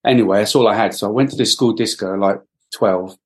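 A man's voice: lowish voice, a little fast. A middle-aged man from Wales, a podcast guest, talking reflectively with many fillers and restarts. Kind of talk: reflective interview talk.

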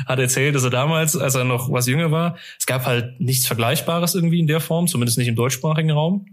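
A man's voice: on the high side, fast. A man chatting casually as a podcast guest, telling anecdotes in a colloquial, rambling and often amused way. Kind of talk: casual interview chat, telling personal anecdotes.

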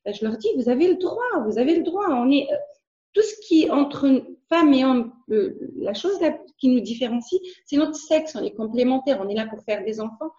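A woman's voice: sits high, animated, normal speed, dark tone, echoey room, highs cut off. A woman whose first language is Arabic, speaking in a casual interview as a guest, hesitant, with many fillers and restarts.

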